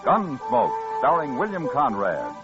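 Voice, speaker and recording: A solemn western radio announcer with a mid-range, animated delivery. Loud music plays behind him on a dull vintage recording. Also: male voice, medium pitch, unhurried. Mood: dramatic. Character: solemn, dramatic male western show announcer. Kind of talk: 1950s radio drama announcing, dramatic narration. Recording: band-limited old radio recording.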